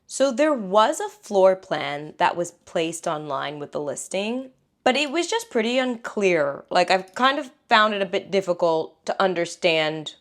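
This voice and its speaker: An American woman with a slightly raspy voice, chatting casually and playfully in slangy vlog narration as she presents a house tour. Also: lowish voice. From United States. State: raspy, tired voice.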